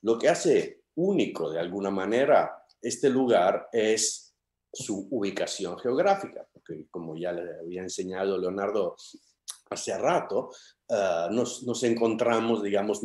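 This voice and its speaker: Guy in his 50s lecturing on a webcam, really low voice, lots of ehs, roomy echo. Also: darkish tone. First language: Italian. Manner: hesitant, many fillers and restarts. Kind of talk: academic lecture over video call. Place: home study with bookshelves. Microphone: video call webcam.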